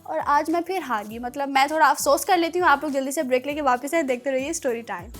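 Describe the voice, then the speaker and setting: A woman with a Pakistani accent presenting a children's show in a playful, chatty, animated way. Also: high voice, fast talker, faint music behind. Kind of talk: playful quiz and segment presenting.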